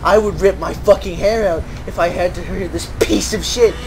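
A man's voice: high-pitched, normal speed, creaky. Exasperated sarcastic gamer guy, noisy background. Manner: exasperated, mock-enthusiastic, heavy swearing, repetitive. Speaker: gaming streamer. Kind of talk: sarcastic game playthrough commentary. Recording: loud fan and game noise behind. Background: whirring computer fan, game audio.

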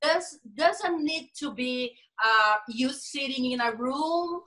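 A woman with a Brazilian Portuguese accent, a lawyer and coach, speaking carefully in an interview, with many pauses and fillers. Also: high voice, lively intonation, bright, forceful tone, rough recording. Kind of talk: interview over video call.

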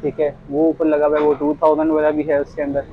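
A young man giving a casual, polite sales pitch, quoting products and prices as a shop salesman. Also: completely flat delivery, fast, phone-quality sound, slight background noise. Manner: polite, addresses customer as sir. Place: small tiled fitness equipment shop, indoors.

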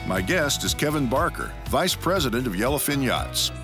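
A man's voice: mid-range voice, big pitch swings, moderate pace. A man delivers scripted narration as host of a fishing show. His mid-range voice sits over prominent background music. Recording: music under the voice.

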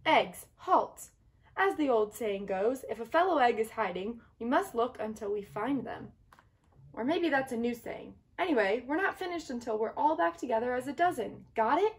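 A woman's voice: on the high side, moderate pace. A woman voicing an anxious, bossy young egg in a picture-book read-aloud, fretful and dramatic. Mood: bossy, urgent. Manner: dramatic, fretful, commanding, expressive. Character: anxious, bossy, earnest young egg leading his friends.

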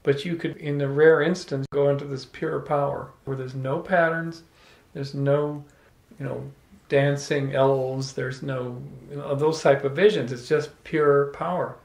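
A middle-aged man telling an animated, playful story to a small audience, holding a handheld microphone. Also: mid-range voice, unhurried. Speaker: salon speaker. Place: room with small live audience. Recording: echoey room.

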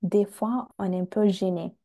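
A young woman whose first language is Italian, talking on a video call, speaking slowly and searching for words as she chats with the host. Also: mid-range voice, fairly even intonation, warm tone. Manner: slow, searching for words with restarts. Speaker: guest. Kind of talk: casual chat. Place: home room. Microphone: earphone mic on a video call.

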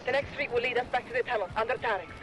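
A woman's voice: fairly high pitch, racing, music in the background, natural voice, low-quality recording. A woman acting a tough resistance fighter leader in a video game, terse and commanding. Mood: grimly determined. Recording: loud game battle noise.

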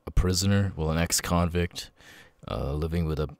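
A man voicing an apologetic, troubled ex-convict with tics, talking haltingly and stammering in an ASMR audio roleplay. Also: sits low, creaky, no room sound. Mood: confiding, hesitant. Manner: halting, stammering, sudden loud tic outbursts.

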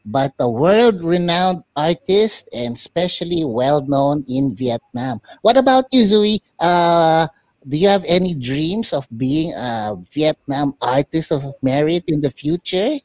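A boy puppet played by a man hosts a talk show in a fairly high voice. The questions are courteous and deliberate, and the sound is close and dry. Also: lively intonation, a little mellow, dry recording. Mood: courteous, curious. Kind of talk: conversational interviewing.

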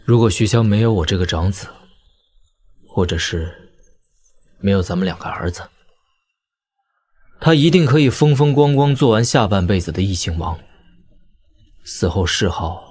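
An actor playing a weary, tender young lord and elder brother in an audio drama, speaking in a measured, reflective way. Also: male voice, fairly low pitch, darkish tone, slightly rough. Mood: tender, rueful. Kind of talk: audio drama dialogue in Mandarin wuxia serial.

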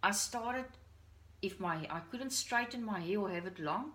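A woman's voice: mid-range voice, measured pace, a bit bright. Earnest middle-aged woman with a Dutch accent. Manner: earnest, heartfelt, confiding. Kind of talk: personal talk to camera. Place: living room at home. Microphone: camera on a stand at a short distance.

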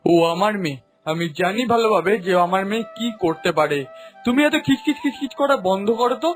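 A man plays an indulgent, protective dad in a cartoon. His voice is high-pitched and emphatic, and faint music plays behind him. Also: expressive, a little fast, fairly bright tone. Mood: defensive, irritated. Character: proud, indulgent middle-aged father, protective of his daughter. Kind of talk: animated cartoon voice acting.

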